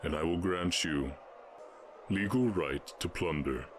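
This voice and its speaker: A man voicing a stern, imposing orc warchief in a fantasy audio roleplay, speaking in deliberate, imperious proclamations. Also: deep voice, slight background noise. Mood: calm, persuasive.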